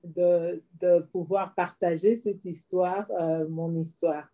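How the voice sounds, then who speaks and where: A woman's voice: on the low side, fairly even intonation, slow pace, dark tone. A middle-aged woman from Congo, telling her life story as an interview guest in a modest, earnest way.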